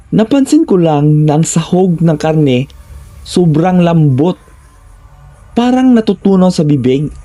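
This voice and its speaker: Male horror narrator, soft dark tone. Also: a little high. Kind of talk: scripted first-person horror narration.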